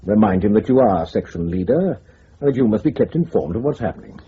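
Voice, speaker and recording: Senior spymaster in a radio play, really husky low voice, formal and in charge, lots of rise and fall, bit muffled. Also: male voice, expressive, full character voice. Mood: firm, diplomatic. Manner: measured, formal, authoritative. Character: measured, authoritative senior spymaster, calmly diplomatic superior. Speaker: intelligence chief. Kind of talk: broadcast radio drama acting. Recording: muffled radio drama recording.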